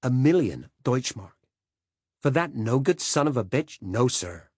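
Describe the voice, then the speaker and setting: A man's voice: sits low, very animated, moderate pace, darkish tone, husky, no room sound, studio quality, heavily acted. A man voicing a blunt, sardonic American senior intelligence boss. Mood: scornful. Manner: brusque, sardonic.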